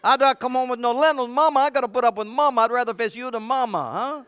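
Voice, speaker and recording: A man's voice: very high voice, fast, a bit bright, smooth, no room sound, voice pushed up. A man voicing a hot-tempered ancient warrior with a mock Italian accent, taunting and blustering. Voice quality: brash, bellowing, rhetorical "huh?" taunts.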